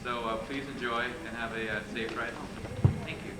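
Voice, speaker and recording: A man's voice: mid-range voice, little pitch movement, brisk. A young man introducing a play with comic banter on a theatre stage. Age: young adult. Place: theatre stage before an audience. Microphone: distant camera microphone. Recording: noisy echoey camcorder recording, loud audience.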